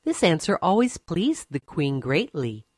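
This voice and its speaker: A woman narrating a scripted fairy tale for children, expressive and carefully phrased. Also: low-pitched, unhurried, husky, no room sound, studio quality. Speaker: storyteller.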